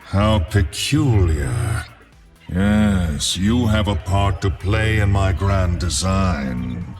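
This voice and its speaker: A man's voice: low voice, very animated, drawn-out words, a little mellow, soft background music, full character voice. A man voicing a cold, calculating monster in a video game, speaking in a clipped, detached way. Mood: scheming.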